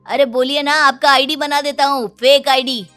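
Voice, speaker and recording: A woman's voice: sits high, fairly even intonation, moderate pace, sharp tone, faint music behind. A woman voicing a put-upon, whining young adult son in an exaggerated comic story style. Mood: sarcastic, exasperated.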